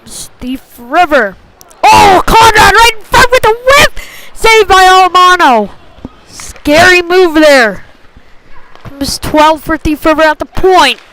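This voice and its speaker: High-pitched woman calling a hockey game. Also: slow pace, background noise. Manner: short clipped phrases, excitable on big plays. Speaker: hockey play-by-play commentator. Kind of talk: live sports commentary.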